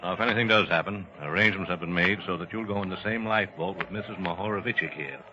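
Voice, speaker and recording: An actor playing a dutiful, businesslike ship's captain giving formal instructions in 1940s radio drama style. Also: male voice, sits low, quick pace, background noise, lightly acted. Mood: businesslike, considerate. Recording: muffled old 1940s radio recording.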